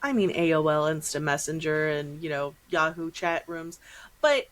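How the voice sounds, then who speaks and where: A woman's voice: sits low, moderate pace. A middle-aged American woman chatting casually as a podcast host, with slangy asides and acted-out reactions.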